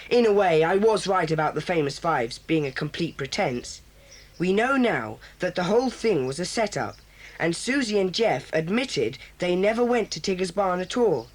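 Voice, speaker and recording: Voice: mid-range voice, close to an everyday voice. A performer voicing a solemn, bossy boy who leads a children's club, speaking in an earnest, formal, commanding way in a 1980s children's audio drama.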